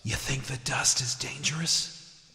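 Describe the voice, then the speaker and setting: A man's voice: mid-range voice, steady tone, unhurried, creaky, bright, forceful tone, full character voice. A man playing a blunt, skeptical starship commander in an audio drama, with a wry, informal way of talking. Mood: wary. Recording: echoey room with long reverb.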